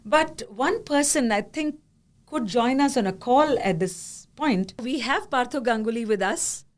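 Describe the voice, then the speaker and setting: A woman hosting a podcast, telling a story with animated energy and frequent laughter. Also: fairly high pitch, a little slow. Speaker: podcast host. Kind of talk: podcast conversation.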